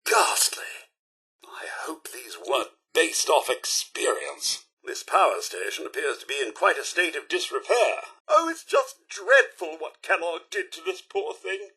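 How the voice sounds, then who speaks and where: A man's voice: sits high, sharp tone, breathy edge, full character voice. An actor playing a prim, fussy male robot butler for a video game, with a posh British accent and a theatrical, politely sardonic manner. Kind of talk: video game companion voice lines.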